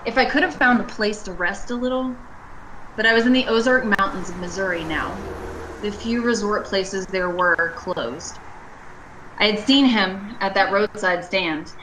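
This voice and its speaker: A woman's voice: medium pitch, normal speed, a bit bright, a little hiss or hum, natural voice, poor audio. A woman playing a haunted, anxious motorist in an amateur radio play, narrating her journey in an earnest, dramatic way. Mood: uneasy, brooding. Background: prominent music.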